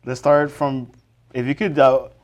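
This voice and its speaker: Halting male podcast host. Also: mid-range voice, level tone, a little mellow. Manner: halting, full of fillers and restarts. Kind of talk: casual interview.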